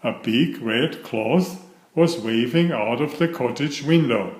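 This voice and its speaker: A man's voice: medium pitch, slow, reverberant. A man narrating a children's story, reading aloud from a script.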